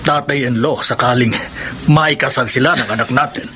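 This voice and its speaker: A man's voice: mid-range voice, moderate pace, fairly bright tone, slight background noise, natural voice. A man with a Filipino accent playing an exasperated, anxious middle-aged father in a radio drama. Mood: wistful, reflective.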